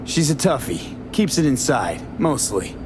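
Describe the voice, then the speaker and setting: A man's voice: medium pitch, sing-song, unhurried, noisy background. A man playing a cool, determined mercenary in a video game, speaking in a blunt, terse, controlled way. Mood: steady. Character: cool, determined male mercenary, former nomad. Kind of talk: video game character acting.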